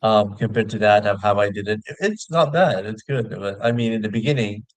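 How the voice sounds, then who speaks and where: A man's voice: fairly low pitch, a little fast, no echo. A man who hosts his own show, chatting casually as a guest. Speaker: video show host appearing as a guest. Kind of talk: casual chat.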